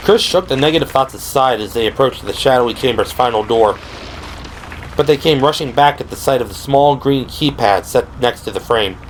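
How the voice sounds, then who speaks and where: A man's voice: medium pitch, moderate pace. A man reading dramatic scripted narration for a horror audio drama. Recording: clearly noisy background. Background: ambient sound effects.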